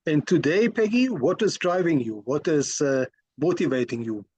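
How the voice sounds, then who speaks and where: A man's voice: fairly high pitch, lively intonation, normal speed, a little mellow, breathy edge, no room sound, muffled recording. A man hosting an interview, asking questions in a polite, friendly way. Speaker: interview host.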